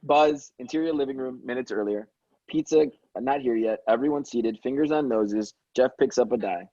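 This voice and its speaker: Man reading stage directions, slightly husky. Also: medium pitch, moderate pace, mellow, dry recording, dull audio. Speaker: stage-direction reader at a table read. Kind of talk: scripted narration, reading screenplay action lines.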